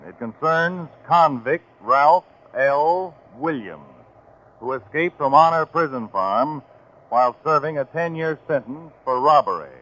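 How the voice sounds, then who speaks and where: A man's voice: fairly high pitch, animated, measured pace, fairly bright tone. A man reading formal scripted narration as presenter of a 1940s radio crime show. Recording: faintly noisy 1940s radio recording.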